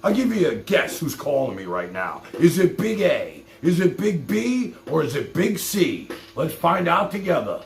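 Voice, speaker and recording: Gravelly man on a roomy live stream. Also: fairly high pitch, very animated, unhurried, few pauses, faint music behind. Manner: colloquial, streetwise, direct address. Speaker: live stream show host. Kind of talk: casual live stream chat, taking calls.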